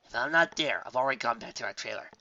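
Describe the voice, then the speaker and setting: An easygoing unicorn musician mare from a storybook, read by a man, sociable and casually polite. The voice is very bright, with a dry, slightly dull sound. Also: mid-range voice, animated, fast talker, gravelly, no echo, full character voice. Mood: relaxed, friendly.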